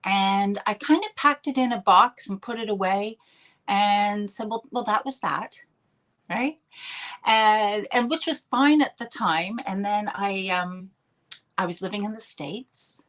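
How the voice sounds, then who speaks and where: A woman's voice: mid-range voice, measured pace, a bit bright. A middle-aged woman with a Canadian accent, chatting as a podcast guest in an upbeat, laughing way. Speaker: podcast guest, healer and mentor. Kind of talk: casual interview chat.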